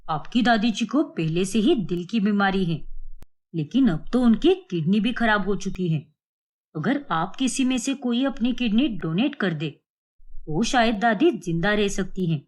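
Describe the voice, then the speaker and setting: Woman doing serious doctor in a cartoon, formal, measured, explaining stuff. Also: mid-range voice, lively intonation. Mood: grave. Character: solemn, formal adult male doctor. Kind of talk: cartoon melodrama acting.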